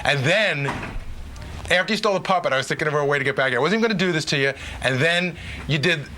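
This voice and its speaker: A man's voice: fairly high pitch, expressive, very fast, sharp tone, a little hiss or hum. A man who works on a radio show, bantering casually in a blunt, profane, argumentative manner. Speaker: radio show staff member.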